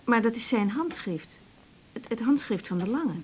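A woman's voice: a little high, expressive, normal speed, a little hiss or hum, natural voice. An actress playing a curt, defensive married woman doctor in naturalistic radio drama, hesitant when feelings come up. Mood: wary. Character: tense married woman doctor, defensive and upset over an inheritance.

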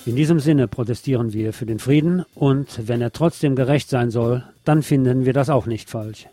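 A man's voice: medium pitch, moderate pace, warm tone, faint music behind. A man presenting a scripted monologue as host of a radio show.

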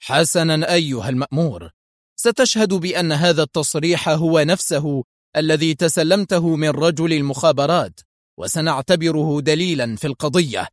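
A man's voice: fairly high pitch, moderate pace, no room sound, studio quality, lightly acted. A man voicing a strict judge who speaks curtly and firmly during a surprise inspection. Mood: stern. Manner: curt, firm. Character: stern male judge, strict and commanding.

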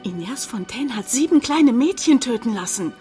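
An actress playing a bitter, pleading village hotel owner in a German radio drama, intense and reproachful. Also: female voice, a little high, expressive, normal speed, mellow, soft background music, natural voice. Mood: pleading, angry.

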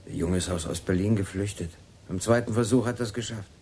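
An actor playing a casual, unruffled underworld acquaintance in a radio drama, offhand in manner, with a deep, husky voice. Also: male voice, fast talker, darkish tone.

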